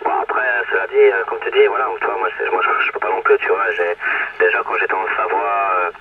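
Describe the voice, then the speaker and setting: A man chatting casually as an amateur radio operator, in halting phrases, heard over a radio transmission. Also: deep voice, creaky. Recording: very noisy, muffled, distant radio transmission. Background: loud radio static.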